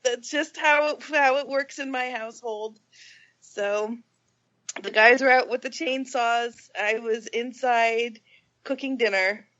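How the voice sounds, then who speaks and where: This woman sounds congested from a cold, her pitch a touch high. She chats casually on a podcast, light and self-mocking. The recording is a little dull and lo-fi. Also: slow, bright, forceful tone. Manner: chatty, laughing, self-deprecating. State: stuffy from a cold. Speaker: podcast co-host. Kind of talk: casual chat.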